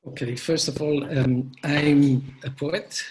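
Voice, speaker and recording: A man's voice: on the high side, steady tone, darkish tone, rough recording. Earnest man. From Swiss and Italian. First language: German. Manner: earnest, slightly halting non-native phrasing. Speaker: poet and organization director, panel presenter. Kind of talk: prepared presentation on a video call. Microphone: video call.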